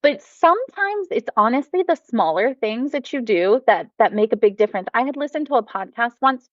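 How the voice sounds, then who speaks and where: A woman's voice: on the high side, lively intonation, fairly quick, no echo, muffled recording. An American woman, a mom and former teacher, talking enthusiastically as a podcast guest. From United States. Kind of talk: casual podcast conversation.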